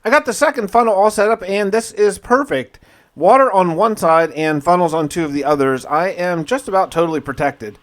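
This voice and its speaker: A man's voice: high voice, fairly quick. A man hosting a gaming video, narrating his play casually in the first person with wry, conversational musing.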